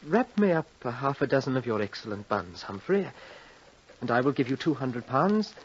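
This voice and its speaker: An actor playing a scheming, nervous young heir with a hesitant manner, in old-time radio drama style. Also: male voice, mid-range voice, very animated, moderate pace, a little hiss or hum, lightly acted. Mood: persuading. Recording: muffled vintage radio recording.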